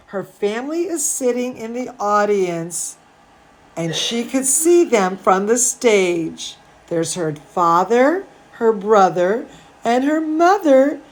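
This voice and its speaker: A woman reading a picture book aloud to children, adding chatty asides in an expressive, drawn-out way. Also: mid-range voice. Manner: animated, drawn-out, expressive delivery. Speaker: storyteller, dance studio teacher.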